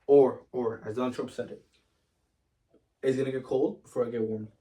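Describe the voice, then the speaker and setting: Tired, laid-back New co-host. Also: male voice, medium pitch, steady tone, normal speed, warm tone. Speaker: podcast co-host. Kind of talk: casual chat. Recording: distant mic.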